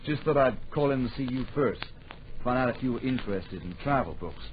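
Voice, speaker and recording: An actor playing a polite, determined young adventurer in an old radio drama. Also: male voice, mid-range voice, animated, brisk, lightly acted. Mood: polite, earnest. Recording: muffled, noisy old radio recording.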